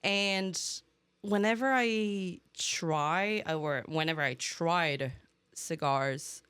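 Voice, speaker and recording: A woman's voice: lowish voice, extremely slow, a bit bright, clean tone. A woman in her thirties from Brazil, whose first language is Portuguese, talking candidly as a podcast guest and laughing often. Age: 30s. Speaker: head brewer guest. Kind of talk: casual conversation.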